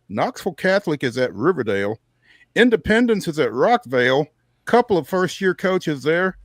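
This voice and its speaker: An American man with a slightly high voice hosts a sports show. He reads through game listings conversationally, dropping in casual asides. The sound is dry, with no room echo. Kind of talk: reading a rundown of local sports schedules.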